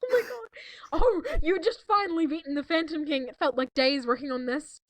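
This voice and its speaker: A woman with a distinctly high voice reads fan fiction in a mock-dramatic style, slipping into casual, giggly asides. The sound is dry and close, with very little room around it. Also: fairly quick, no room sound. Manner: giggly, mock-dramatic, breaks off to react in disbelief. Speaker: co-reader in a fanfiction reading video. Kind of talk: mock-dramatic read-aloud with casual reactions among friends.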